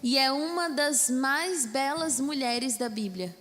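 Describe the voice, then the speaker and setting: A woman's voice: high-pitched, slow pace, a bit bright. A Brazilian woman interpreting a sermon live, echoing each phrase right after the preacher. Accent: Brazilian Portuguese. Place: church hall. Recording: reverberant hall with faint music.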